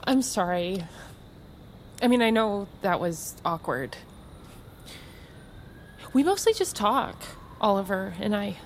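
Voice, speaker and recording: An actress playing a breezy, quietly manipulative woman in a naturalistic audio drama, chatty, coy and insistent. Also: mid-range voice, big pitch swings, takes it slowly, long pauses, slight background noise. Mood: placating.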